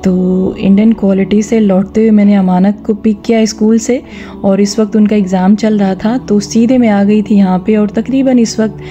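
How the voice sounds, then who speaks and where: A woman's voice: mid-range voice, fairly even intonation, normal speed, warm tone, muffled recording, soft background music. A woman narrating a vlog, giving instructive advice directly to her viewers. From India. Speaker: vlogger. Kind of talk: vlog voice-over with gentle religious advice.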